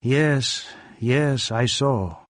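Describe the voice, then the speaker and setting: A man's voice: mid-range voice, drawn-out words, no echo. A man voicing an anxious, sad, wealthy Indian businessman in an audiobook, pleading and eager to please.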